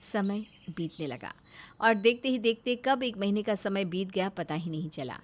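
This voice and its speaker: A woman's voice: mid-range voice, normal speed. A woman narrating a scripted moral story in a dramatic storytelling style.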